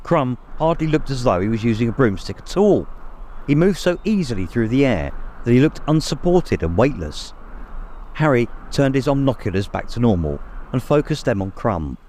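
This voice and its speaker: A man's voice: medium pitch, darkish tone. A man narrating a story in a dramatic, scripted audiobook style.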